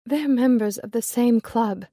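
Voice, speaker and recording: A woman voicing a genteel young lady who speaks softly and pleads in distress. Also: a little high, unhurried, dry recording, studio quality, pitched above the performer's own voice.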